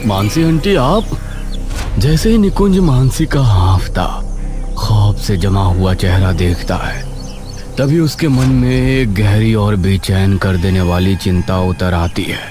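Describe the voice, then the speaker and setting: A man narrating a horror cartoon in a slow, dramatic, suspenseful voice. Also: sits low. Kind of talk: scripted horror storytelling. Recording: music bed under voice.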